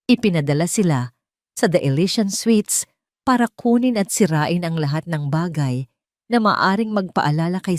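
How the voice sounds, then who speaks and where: A woman's voice: fairly low pitch, a little mellow, no echo, studio quality. A woman reading a scripted dramatic story as its narrator.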